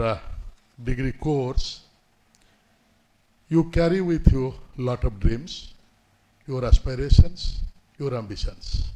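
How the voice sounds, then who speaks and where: A man gives a formal commencement address at a podium in a seminar hall, speaking in measured phrases with frequent pauses. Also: medium pitch, dark tone. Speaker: guest speaker. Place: indoor university seminar hall. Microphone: podium microphone.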